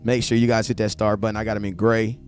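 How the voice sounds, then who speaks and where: A man's voice: on the low side, little pitch movement, quick pace, a little mellow, soft background music. A man, chatting loosely on a live stream, talking straight to his viewers and repeating points for emphasis. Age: 40s. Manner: loose slangy talk, addresses viewers directly, repeats himself for emphasis. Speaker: live streamer, sports fan host. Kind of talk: casual live-stream chat reading viewer comments.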